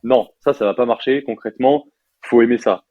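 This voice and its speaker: A young man in his twenties from France, a software developer chatting casually in an interview with lots of fillers and slang. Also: mid-range voice, a little fast, no echo, narrow, tinny audio. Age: 20s.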